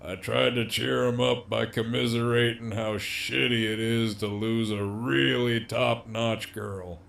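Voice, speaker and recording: A man playing a cocky, sardonic middle-aged captive villain in an audio drama, with a gravelly, teasing drawl. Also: medium pitch, level tone, measured pace, fairly bright tone. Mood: wry, teasing. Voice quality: gravelly, creaky.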